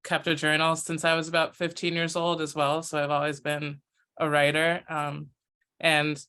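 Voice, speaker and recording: Bright, clear-voiced woman chef guest. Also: deep voice, little pitch movement, moderate pace. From United States. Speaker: chef and cookbook author guest. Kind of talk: interview answers.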